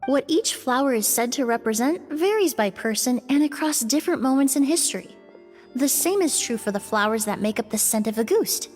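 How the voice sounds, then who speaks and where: A woman playing a thoughtful, polite young perfumer in a video game, measured and explanatory. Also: high-pitched, lively intonation, darkish tone, in a large hall, faint music behind. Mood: reflective.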